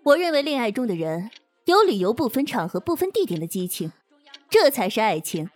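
Woman acting as a flirty shameless lady boss, higher voice swinging all over, music behind, radio drama. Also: big pitch swings, fairly quick, a little mellow. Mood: passionate. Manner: playful, provocative teasing. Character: flirtatious, teasing, brazen seductress, female boss.

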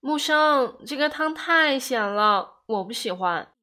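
An actress playing a petulant, pampered young girlfriend in a radio drama, nagging cutely with whiny, coquettish, drawn-out words. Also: high-pitched, expressive, bright, forceful tone, heavily acted. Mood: pouting. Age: young adult.

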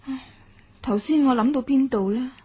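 A woman's voice: sits high, moderate pace, warm tone, slightly rough. An actress playing a self-possessed young woman novelist in a radio drama, with a Hong Kong Cantonese accent. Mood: absorbed, storytelling. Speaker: lead actor and narrator. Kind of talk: radio drama acting and narration. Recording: music behind.

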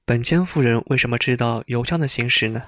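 Actor as earnest, polite gentleman in confessional drama. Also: medium pitch, brisk, no echo, lightly acted. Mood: polite, apologetic. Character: polite, earnest gentleman confiding an awkward romance. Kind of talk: Mandarin audio drama acting.

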